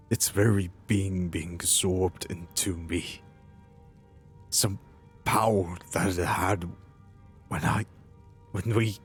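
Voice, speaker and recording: A bewildered mercenary hero acted by a man in a fantasy game roleplay. The voice is low and slow, breaking off and repeating phrases, with faint music behind it. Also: slightly rough, full character voice. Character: bewildered male mercenary, newly chosen dragon-slaying hero. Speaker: player voicing his own game character. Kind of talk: immersive fantasy roleplay acting.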